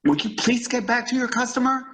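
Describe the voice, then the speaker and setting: A man playing a high-pitched, fussy store boss. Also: fairly even intonation, fairly quick, fairly bright tone. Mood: fussy, businesslike. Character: fussy, excitable, short-tempered male store supervisor. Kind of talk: old-time radio comedy acting.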